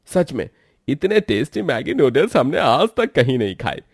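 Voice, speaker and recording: A cheerful customer who gushes with praise in a lifted, bright voice, as a man acts him out. Recorded close and dry in a cartoon. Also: medium pitch, very animated, moderate pace, breathy edge, no echo. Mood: delighted. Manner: gushing praise. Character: delighted customer, effusive and cheerful.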